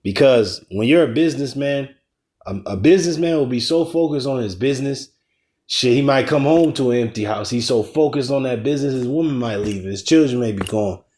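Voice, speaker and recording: Man, mid-pitched, casual preacher, slangy, cursing, emphatic. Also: animated, brisk, a little mellow. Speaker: teacher in a religious ministry. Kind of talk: casual scripture lesson.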